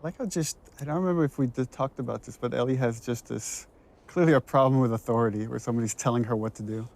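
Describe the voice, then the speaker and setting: A man's voice: mid-range voice, fast talker, gravelly, darkish tone. A man giving casual, enthusiastic director commentary in a conversational way. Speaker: game director on a commentary track.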